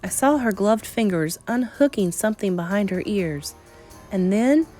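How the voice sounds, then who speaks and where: A woman narrates a children's novel in a mid-pitched, highly animated voice. She leans into key words and leaves deliberate pauses for suspense. Soft music plays behind her. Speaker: storybook reader. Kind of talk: dramatic read-aloud narration of a children's novel.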